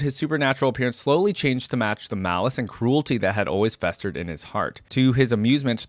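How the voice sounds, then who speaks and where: A man's voice: on the low side, no room sound, studio quality. A man hosting a reaction video, switching between reading lore aloud and chatty, informal commentary.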